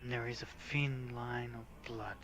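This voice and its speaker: A man voicing a weary, earnest game protagonist who narrates his dark tale in a slow, solemn, measured way. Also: medium pitch, steady tone, music in the background, voice pushed down, natural voice. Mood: solemn, reluctant pity.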